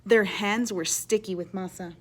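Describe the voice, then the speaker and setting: A woman reading a children's story aloud in an expressive, teacherly way, pausing to explain words and ask questions. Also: mid-range voice. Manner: animated, emphatic reading with hushed asides.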